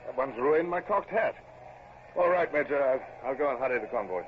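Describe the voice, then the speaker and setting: An actor playing a brisk, wry British naval captain in an old radio drama. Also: male voice, mid-range voice, animated, lightly acted. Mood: brisk command. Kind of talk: old radio drama acting and first-person narration. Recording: muffled, noisy vintage radio recording.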